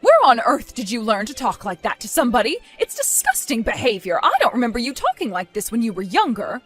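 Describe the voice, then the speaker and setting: A woman's voice: a little high, huge pitch swings, fairly quick, soft background music. A woman voicing a stern, disappointed mother nearing retirement age in a dramatized story, scolding with weary firmness. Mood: stern, disgusted.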